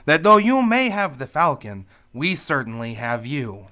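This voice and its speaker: A fussy, sly crook from old-time radio drama. His man's voice is slightly high and bright, with very animated pitch. He is pedantic and formally polite. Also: measured pace, exaggerated voice. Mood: prim, sly. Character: fussy, precise, slyly polite male crook.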